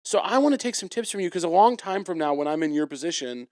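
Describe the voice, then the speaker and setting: A man in his late twenties hosting a podcast at home, teasing his guest in quick, joking banter. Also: high-pitched, lively intonation, a little mellow, dry recording, studio quality. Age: 20s. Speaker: podcast host. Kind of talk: casual chat. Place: home room.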